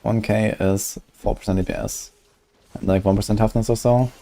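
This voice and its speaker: Low-voiced man musing over a game. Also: fairly even intonation, moderate pace, dark tone. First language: German. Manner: musing, calculating aloud. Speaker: streamer. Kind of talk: casual gameplay commentary.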